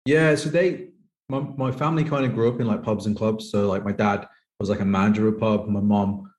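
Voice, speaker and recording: Man, 30s, from the UK, poker pro guest, quick rambling speech, roomy echo. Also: on the low side, fairly even intonation, a little mellow. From United Kingdom. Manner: rambling, heavy use of "like". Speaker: professional poker player guest. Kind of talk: casual interview chat. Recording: echoey room.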